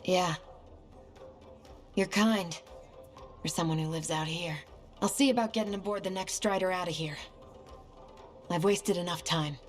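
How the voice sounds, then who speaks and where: A woman's voice: lowish voice, measured pace, long pauses, soft background music, lightly acted. A woman playing a crabby, grudging baker in a video game, blunt and grumbling. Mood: softening, resolved. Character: crabby, grudging female bread maker. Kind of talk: naturalistic video game acting.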